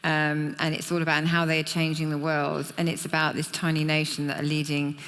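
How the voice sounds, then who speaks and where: A woman on a trade fair panel talks in a flat, even tone, full of ums and restarts. A long hall reverb trails after her words. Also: low voice, a little fast, smooth. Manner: flat delivery with many fillers. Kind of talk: panel discussion.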